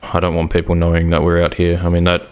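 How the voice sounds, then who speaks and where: A man playing a cautious, guarded survivor in hiding, measured and wary, in a game roleplay. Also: sits low, monotone, darkish tone, clean tone, close to an everyday voice. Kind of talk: improvised game roleplay drama.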